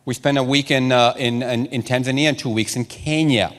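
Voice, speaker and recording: Spanish-native male preacher, mid-range voice, animated storytelling, long church-hall reverb. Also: medium pitch, brisk. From Mexico. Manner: animated storytelling with repeated words and restarts. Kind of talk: sermon to a congregation.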